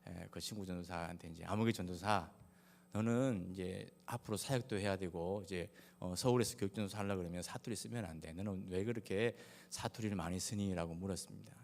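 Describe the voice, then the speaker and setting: A male pastor preaches in a reverberant church hall, his voice on the low side. He has a Gyeongsang Korean accent and speaks in measured, halting phrases, pausing often. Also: creaky, darkish tone, slight background noise. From Daegu, South Korea. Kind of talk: sermon with personal anecdotes. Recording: echoey hall with long reverb.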